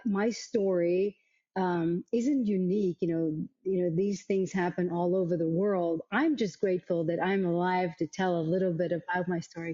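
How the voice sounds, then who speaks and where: A middle-aged woman from Africa, telling her story as an interview guest in a thoughtful, halting way with many repeated words. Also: lowish voice, dark tone. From South Africa. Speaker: guest, arts nonprofit vice president. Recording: dull, muffled lo-fi sound.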